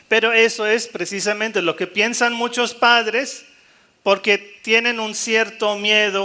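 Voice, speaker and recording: High-pitched, bright-voiced male priest preaching. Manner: jokey and animated, mimicking doubtful priests, rallying listeners. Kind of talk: live sermon-style talk to a gathered congregation.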